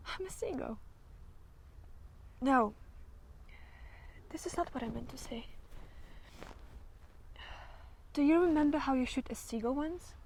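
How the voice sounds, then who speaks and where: Casting: woman to play wounded young actress in classic stage drama, high voice, slow halting delivery, fragile but fervent. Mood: distracted, fragile. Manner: halting, distracted, emotionally wavering.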